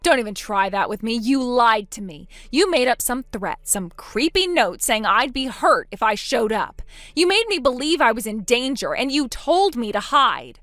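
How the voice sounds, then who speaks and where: A woman's voice: moderate pace, dry recording. A woman playing a firm, protective older sister. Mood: cold anger. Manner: firm, steady, lower-voiced.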